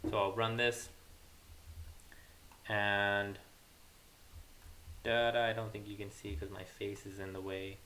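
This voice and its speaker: A man's voice: lowish voice, monotone, slow, long pauses, sharp tone. A young man giving a casual coding tutorial, informally thinking aloud as he works. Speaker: programming tutorial host. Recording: hissy mic with keyboard clatter. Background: computer keyboard typing, hiss.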